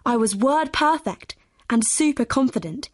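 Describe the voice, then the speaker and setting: A woman reading a bright, determined scripted monologue for a listening exam. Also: a little high, lively intonation, measured pace, dry recording, clean studio sound. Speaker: exam recording speaker.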